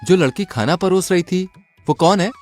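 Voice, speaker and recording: A man's voice: sits high, moderate pace, darkish tone, faint music behind, voice pushed up, lightly acted. A man voicing a clever young traveler in a Hindi folk tale, polite and confident. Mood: friendly.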